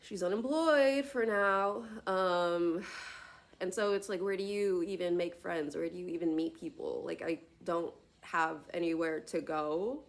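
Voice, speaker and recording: A woman's voice: fairly low pitch, a little slow. A woman in her late twenties, talking to herself on a tablet camera in a rambling vlog, full of tangents and filler words. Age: late 20s. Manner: tangential, filler-heavy, many "like" and "you know what I mean". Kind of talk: rambling solo vlog monologue.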